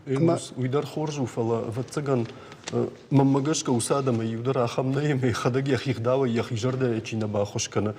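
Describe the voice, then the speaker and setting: Mellow-voiced man over clear ambient noise. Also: mid-range voice, steady tone, brisk. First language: Ossetian.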